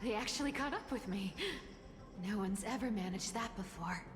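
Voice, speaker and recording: A woman's voice: medium pitch, moderate pace, lightly acted. A woman voicing a sly, playful cat thief in a video game, teasing and mocking in a theatrical, giggly way. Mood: cocky, teasing. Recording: game music behind the voice.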